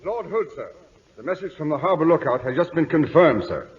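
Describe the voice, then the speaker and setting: An actor playing a dutiful British naval aide reporting formally and urgently in old-time radio drama style. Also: male voice, fairly high pitch, lively intonation, fairly quick, close to an everyday voice. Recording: muffled old radio broadcast.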